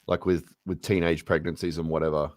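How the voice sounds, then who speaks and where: A man's voice: low voice, level tone, brisk, no room sound, studio quality. A man guest in a casual political chat, blunt and swearing freely.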